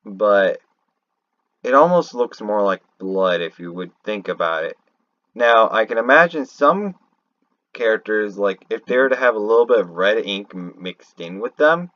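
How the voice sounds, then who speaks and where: A man with a slightly low voice rambles through unscripted game commentary. He takes his time, halting and correcting himself as he thinks aloud. The recording is dull and lo-fi. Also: expressive. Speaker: fan commentator on a video game. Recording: roomy, dull lo-fi home recording.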